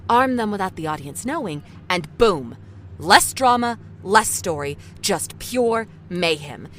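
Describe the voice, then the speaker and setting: A woman's voice: medium pitch, expressive, a little slow, fairly bright tone, slight background noise. Woman acting a vain, swooping female executive. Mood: cynical, explanatory. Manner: theatrical, sarcastic, profane. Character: vain, foul-mouthed, ruthless female TV executive named Annabelle. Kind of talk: audio drama acting.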